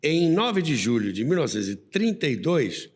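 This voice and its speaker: Lively Brazilian man in a reverberant space. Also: on the high side, moderate pace, husky. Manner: didactic, expressive storyteller. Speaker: podcast host. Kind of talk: conversational storytelling about radio history. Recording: long reverberant hall-like echo.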